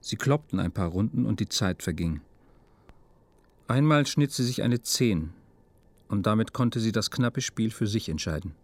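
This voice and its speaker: A man's voice: on the low side, expressive, normal speed, darkish tone, no room sound. A man narrating a literary radio drama from a script in a sober, matter-of-fact way. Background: music.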